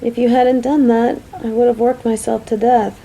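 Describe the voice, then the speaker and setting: A woman's voice: fairly high pitch, level tone, normal speed, creaky, mellow, slightly rough, dull audio. A woman playing a caring, motherly female coworker who frets over the person close to her, earnest and affectionate in a romantic audio roleplay. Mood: tender, earnest. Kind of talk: scripted romantic audio roleplay spoken to the listener.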